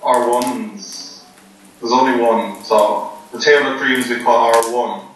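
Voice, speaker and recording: A man being interviewed before a poker game, joking in a deadpan, rambling way with many ums. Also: mid-range voice, takes it slowly. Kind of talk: joking casual chat. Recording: echoey room, voice from far off, faint noise.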